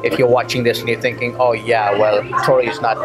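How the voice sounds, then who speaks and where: A chatty man interviews his guest in an effusive, jokey way. His voice sits at an ordinary middle pitch. Clear music plays underneath the talk. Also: little pitch movement, brisk, poor audio. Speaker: interviewer and marketer. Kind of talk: casual interview chat. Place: indoors on a couch. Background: background music.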